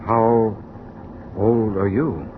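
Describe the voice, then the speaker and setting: A man's voice: lowish voice, steady tone, extremely slow. An actor playing a bewildered, troubled man narrating a strange journey in an old-time radio drama, with intense, fragmented phrasing. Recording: muffled, noisy old radio recording.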